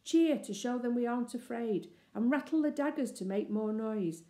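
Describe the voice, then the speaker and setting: A bold young boy from a children's storybook, acted by a woman reader, boastful and excited. The voice is raised high and rallying, with a soft-edged tone in an echoey room. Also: normal speed, lightly acted. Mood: rallying, fierce. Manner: excited, declamatory, bellowing cheers and commands.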